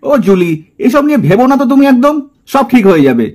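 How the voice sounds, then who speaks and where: A man's voice: extremely high pitch, big pitch swings, fast talker, darkish tone, heavily acted. A man playing an eager, easily bossed young boyfriend in a puppet cartoon, coaxing and apologetic with some English mixed in. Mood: apologetic, soothing. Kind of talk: puppet cartoon drama acting.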